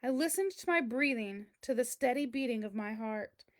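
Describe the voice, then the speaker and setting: A woman's voice: fairly high pitch, animated, moderate pace, close to an everyday voice. A woman voicing a boastful big cheese learning humility, telling its own picture-book story in a theatrical, emphatic way. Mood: calm, relieved. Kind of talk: first-person picture-book read-aloud.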